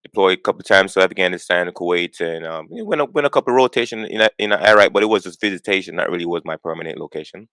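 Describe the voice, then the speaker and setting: A man's voice: deep voice, very fast, few pauses, fairly bright tone, clean tone, no echo. A man in his forties, from Jamaica, talking earnestly as a podcast guest and repeating words as he gets going. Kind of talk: conversational interview.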